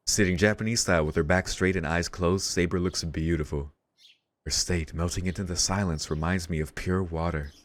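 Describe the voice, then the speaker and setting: A man reading a visual novel aloud as a let's play host, with casual reactions and game music behind him. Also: sits low. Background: visual novel soundtrack.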